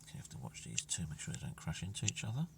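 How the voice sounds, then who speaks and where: A man's voice: very deep voice, sing-song, racing, vocal fry, heavy background noise. A man giving casual commentary on his own gameplay, muttering to himself and often trailing into near-whispers. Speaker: gamer narrating his own play. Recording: distant mic with music playing.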